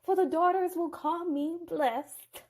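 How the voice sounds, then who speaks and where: Proud, declaring young wife, unhurried; woman narrator voices her. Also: very high-pitched, a little mellow, slight background noise, pitched above the performer's own voice, heavily acted.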